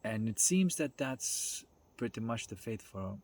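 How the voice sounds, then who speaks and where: A man giving a casual, unscripted philosophical talk in a halting, reflective way, thinking aloud and pausing often. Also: lowish voice, lively intonation, a little mellow. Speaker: spiritual self-development speaker.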